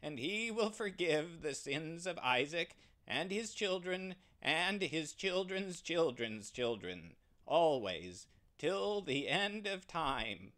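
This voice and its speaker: A man's voice: on the high side, big pitch swings, a bit bright, pitched above the performer's own voice, full character voice. A man voicing a brave, determined male ram who speaks earnestly and urgently. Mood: solemn. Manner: earnest, urgent, slow and emphatic.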